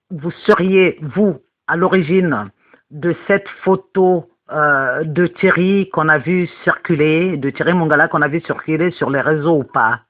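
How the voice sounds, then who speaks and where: A male interviewer asking probing questions with some fillers. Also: fairly high pitch. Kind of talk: journalistic questioning. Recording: tinny phone line.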